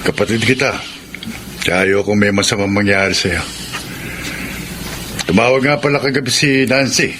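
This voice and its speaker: An actor playing a protective, concerned older brother in a Filipino radio drama, with a Filipino accent and calm manner. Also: male voice, fairly low pitch, moderate pace, pauses often, lightly acted. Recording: noisy ambient background.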